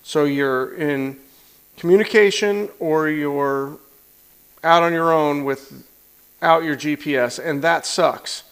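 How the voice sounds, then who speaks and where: A man's voice: a little high, slow, a bit bright, smooth, rough recording. A man talking through a casual hands-on product review in a plain-spoken, blunt way, demonstrating as he goes. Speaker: product reviewer, motorcycle channel host.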